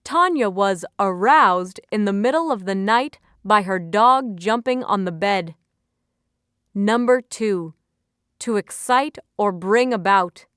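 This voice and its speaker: A woman reading scripted vocabulary entries and example sentences as an educational narrator, enunciating carefully in a measured way. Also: mid-range voice, animated, a bit bright, clean tone, no echo, studio quality.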